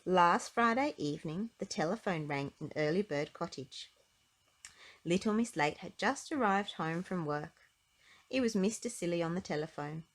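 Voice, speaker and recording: Animated low-voiced woman reading to children. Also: moderate pace. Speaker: storybook narrator for children. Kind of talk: animated read-aloud narration for children.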